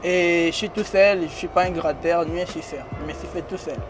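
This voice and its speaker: A young man with a high, bright voice and a foreign accent talks in street slang, looping his phrases and breaking into laughs. He is not a native French speaker. He sits inside a limousine, with clear music playing behind him. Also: fairly even intonation, brisk. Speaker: interviewee. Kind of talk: casual interview talk.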